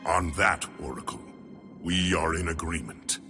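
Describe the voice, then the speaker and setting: A man voicing a solemn, commanding alien warrior leader in a video game cutscene, over a loud score. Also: sits low, measured pace, over music.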